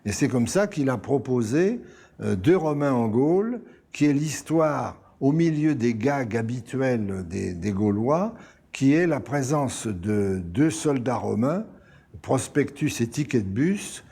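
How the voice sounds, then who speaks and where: An older man with a gravelly, mellow voice looks back in an interview. He is husky and pauses often between phrases. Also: medium pitch, expressive. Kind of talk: conversational recollection.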